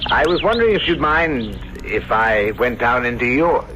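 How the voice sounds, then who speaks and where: A man's voice: medium pitch, expressive, normal speed, pushed and bright, faint music behind. An actor playing a polite, inquisitive visitor in a 1970s radio drama style, musing as he talks. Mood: curious, musing. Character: curious, courteous male visitor to an estate. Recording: dull old radio broadcast.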